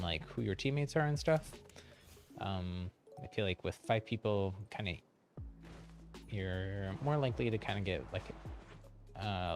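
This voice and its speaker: A man doing casual live gameplay commentary as a streamer, chatty and hesitant as he thinks aloud. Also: on the low side. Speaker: game streamer.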